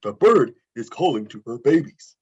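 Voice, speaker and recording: A man's voice: mid-range voice, sing-song, moderate pace, husky. A man playing an eager, simple-minded talking dog in a cartoon script read, with literal, oddly formal phrasing, on a dull lo-fi microphone. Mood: explanatory. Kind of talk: amateur table read of an animated film script.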